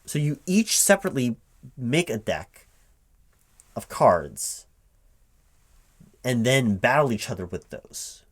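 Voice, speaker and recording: A man playing a recruiting manager in a one-sided spoken interview roleplay, halting and puzzled as he repeats things back as questions. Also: medium pitch, sing-song.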